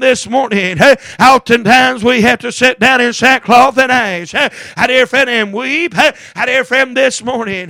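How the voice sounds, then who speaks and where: A man's voice: extremely high pitch, creaky, pushed and bright, dry recording. A man preaching a fervent, chanted revival-style sermon in a strained voice, punctuating his phrases with gasped grunts and a repeated term of address.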